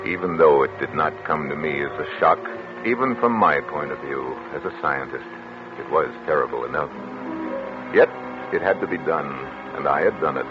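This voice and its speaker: An actor playing a controlling, calculating doctor in a formal, measured 1940s radio suspense style. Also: male voice, very deep voice, animated, music in the background. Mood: cold, measured. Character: controlling, coldly calculating male doctor narrating his crime. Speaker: radio actor, lead and narrator. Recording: muffled, noisy vintage 1940s radio recording.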